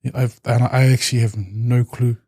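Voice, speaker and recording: Samoan-New man, mellow and reflective. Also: medium pitch, level tone, moderate pace, dry recording, clean studio sound. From New Zealand. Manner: reflective, with many restarts and fillers. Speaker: podcast guest. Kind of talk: casual interview talk.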